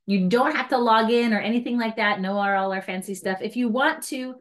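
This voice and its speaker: A woman's voice: fairly high pitch, brisk. Woman giving a casual hands-on tutorial. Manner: casual, chatty step-by-step explaining with light self-mocking asides. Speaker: trainer for outdoor guides. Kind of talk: informal hands-on device tutorial.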